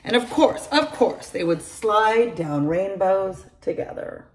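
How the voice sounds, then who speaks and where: Woman, middle-aged, teacher, storybook read-aloud, animated, gravelly, phone camera, room at home. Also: medium pitch, takes it slowly, slight background noise, low-quality recording. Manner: animated storytelling, addresses children by name, gravelly edge. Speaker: teacher reading to her class. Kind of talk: children's picture book read-aloud. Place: large home room indoors. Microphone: phone or laptop camera at close range.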